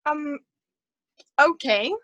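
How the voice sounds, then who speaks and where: An eager yet hesitant female office newcomer played by a woman in a staged play reading. Her voice is high and bright, and she speaks tentatively, in halting phrases. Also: exaggerated voice. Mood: tentative, compliant. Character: hesitant, eager young female office junior being recruited.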